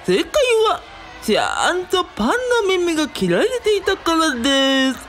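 An actress playing a showy, gleeful TV quiz host in a radio drama, with theatrical, drawn-out delivery. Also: female voice, sits high, big pitch swings, a bit bright, faint music behind, exaggerated voice. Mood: gleeful mock sympathy. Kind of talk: game show presenting in a radio drama.

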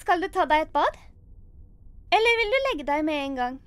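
A woman's voice: sits high, lively intonation, a bit bright. A woman voicing a devoted, cheerful young dragon maid in an anime fan dub. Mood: attentive.

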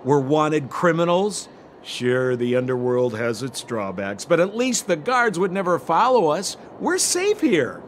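A man's voice: a little high, sing-song, measured pace, slight background noise. A man voicing a shifty, glib young con man in a video game, theatrical and full of exaggerated salesman patter. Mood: defensive, pleading.

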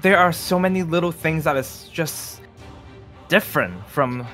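A man's voice: a little high, unhurried, a bit bright, faint music behind. A man playing an uneasy young time traveler who lies badly, hesitating and stuttering through a game roleplay. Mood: troubled, hesitant. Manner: hesitant, stuttering, filler-heavy. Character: young man from the future, uneasy, evasive bad liar. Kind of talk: improvised Minecraft roleplay acting.

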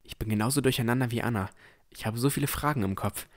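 A man playing a dreamy, curious young villager who narrates a fantasy audio drama in measured tones. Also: mid-range voice, lightly acted. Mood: puzzled, tense. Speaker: narrator and lead character.

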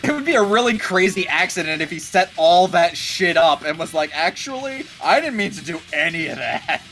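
A man comments casually on a game with blunt sarcasm and swearing. His voice is fairly high, bright and animated, with music behind. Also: normal speed. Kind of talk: casual joking chat over gameplay.

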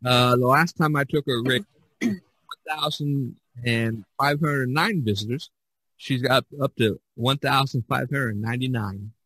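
A man hosts a casual podcast with a bright, forward voice and a very dry, close sound. He rambles in a folksy way, repeating himself as he reads out figures. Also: mid-range voice, measured pace, breathy edge, no echo, rough recording. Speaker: podcast host. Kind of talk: casual chat, reading out figures.

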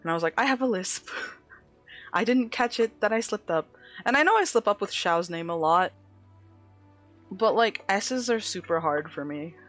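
A woman game streamer with a slight lisp, talking casually as she plays. She chats in a playful, easy way and addresses her viewers directly. Faint game music runs quietly in the background. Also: mid-range voice, expressive, moderate pace, pauses often. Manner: chatty, playful, talks to chat. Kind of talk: casual gameplay commentary.

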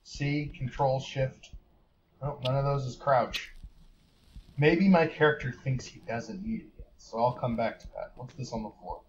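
A man's voice: medium pitch, fairly even intonation, measured pace. Muttering gamer man, dull lo-fi mic. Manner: wry, muttering, thinking aloud. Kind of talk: casual let's play commentary. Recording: slightly dull lo-fi mic with faint hiss.

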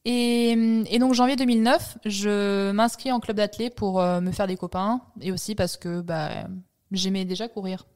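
A woman in her thirties telling her own story, pausing often with fillers and restarting her phrases. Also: medium pitch, steady tone. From Italy and France. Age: 30s. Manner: hesitant, many fillers and restarts. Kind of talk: interview monologue. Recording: soft music underneath.

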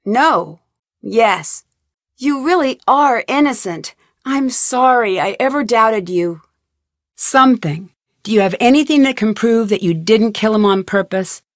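A woman's voice: fairly high pitch, animated, unhurried, dry recording, clean studio sound. A woman voicing a young woman detective in game voice lines, asking earnest, inquisitive questions in a polite tone. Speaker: voice actor. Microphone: studio mic, dry.

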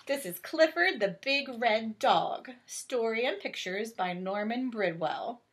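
A middle-aged woman reading a picture book aloud to children as a teacher, expressive and deliberate, with drawn-out emphasis. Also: a little high, a little hiss or hum. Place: small home bedroom, indoors.

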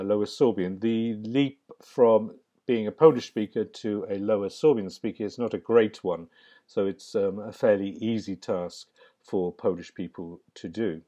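A man giving a narrated slide lecture in a measured, academic way, pausing with frequent ums. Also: on the low side, mellow. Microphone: voice-over on slides.

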